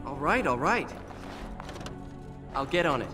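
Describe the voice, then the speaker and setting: An actor playing an irritable, sarcastic grumbler who reluctantly obeys in a video game. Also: male voice, fairly high pitch, sing-song, measured pace, over music. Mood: grumbling. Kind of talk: video game character acting.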